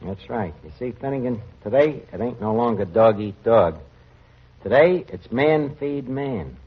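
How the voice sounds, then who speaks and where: A man's voice: medium pitch, animated, a little slow, slightly rough. Earnest streetwise tavern man, muffled radio. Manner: colloquial, streetwise, uneducated grammar. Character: earnest working-class tavern manager with mangled grammar. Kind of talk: 1940s radio comedy-drama acting. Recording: muffled vintage radio recording.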